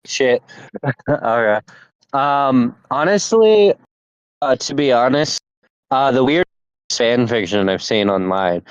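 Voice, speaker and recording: A man's voice: mid-range voice, lively intonation, clean tone, dry recording. Male podcast co-host, casual chat, stop-start delivery, dry close sound, slightly dull audio. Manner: halting, many fillers and restarts.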